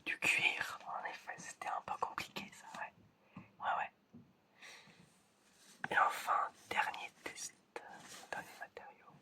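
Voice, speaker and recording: A person whispering in a breathy, almost voiceless way in an ASMR roleplay as an examiner, halting and repeating short phrases. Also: bright, forceful tone. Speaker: ASMR creator playing an examiner giving a five senses test.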